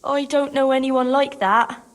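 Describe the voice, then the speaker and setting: A woman's voice: high-pitched, steady tone, measured pace, dry recording. An actress playing a protective, worried young peasant woman in a video game, guarded and plain-spoken. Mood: dismayed. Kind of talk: video game cutscene acting.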